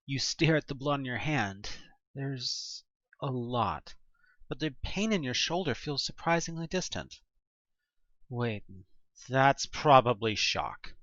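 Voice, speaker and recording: Horror audio drama narrator, theatrical and very animated in pitch, forceful tone, dry and slightly dull recording. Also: takes it slowly, no echo. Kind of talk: dramatic scripted narration of an interactive horror story in second person.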